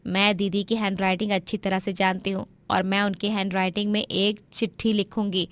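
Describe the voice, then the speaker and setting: A woman's voice: mid-range voice, no echo, natural voice. Woman acting a scheming, spiteful young daughter-in-law. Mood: conspiratorial. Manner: plotting, gloating. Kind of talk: Hindi moral story voice acting.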